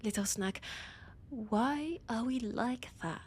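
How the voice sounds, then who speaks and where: A woman playing a haughty, annoyed vampire scholar with a French accent, speaking in a theatrical monologue with dramatic pauses. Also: on the high side, a little hiss or hum. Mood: wry, condescending. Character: annoyed, haughty female vampire scholar guiding a library guest. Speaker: audio roleplay performer. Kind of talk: slow theatrical in-character monologue.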